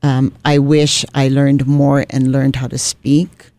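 A woman's voice: very deep voice, level tone, takes it slowly, mellow, dry recording. A middle-aged woman from Hawaii, a radio executive telling her story on a podcast, often adding "you know" in a small radio studio at a broadcast mic. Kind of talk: casual interview chat. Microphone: studio broadcast mic on boom arm.

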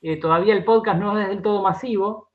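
Argentine guy getting interviewed, voice a bit high, keeps restarting phrases, kinda muffled sound. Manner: halting, circles back and repeats words as he explains. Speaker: interview guest, radio drama director and writer. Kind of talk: radio interview, conversational.

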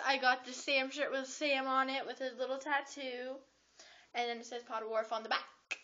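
A teenage girl excitedly recounting her day in a chatty webcam vlog, full of "like" and quick asides, recorded in a small carpeted room. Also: high voice. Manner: gushing, chatty storytelling with frequent "like". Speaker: vlogger. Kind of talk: excited casual vlog recap. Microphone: laptop webcam at close range. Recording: dull lo-fi webcam audio.

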